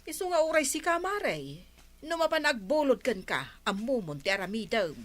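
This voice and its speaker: A woman's voice: a little high, very animated, moderate pace. A woman acting an aggrieved village neighbor with an Ilocano accent in a comedy radio drama, complaining expressively. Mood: indignant. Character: aggrieved village woman complaining about her borrowed sandals.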